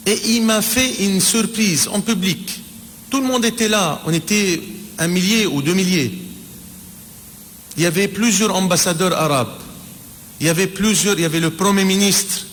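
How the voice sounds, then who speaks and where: A man's voice: sits high, a bit bright. Brief: man in his 40s, native Arabic speaker, reflective testimony, long reverb tail in the room. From Palestine. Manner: measured, reflective, pauses and restarts mid-sentence. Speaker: ambassador giving a tribute. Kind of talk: spoken personal testimony. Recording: reverberant room with faint background noise.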